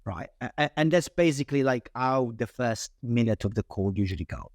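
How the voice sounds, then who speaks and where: A man with an Italian accent talks through cold-calling tactics in a casual interview. He is animated and quick, often restarting his sentences as he goes. His voice is mid-pitched and sits dry and close to the mic. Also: no room sound. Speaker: sales guest.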